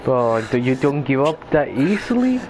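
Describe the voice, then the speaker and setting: A man's voice: mid-range voice, sing-song, moderate pace, background noise. A man giving casual gameplay reaction commentary in a slangy, exclamatory way. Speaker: gamer, video creator.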